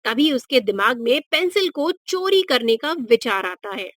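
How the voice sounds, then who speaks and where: A woman's voice: sits high, very animated, measured pace, a bit bright, dry recording, studio quality. A woman narrating a scripted moral story for children as a storyteller.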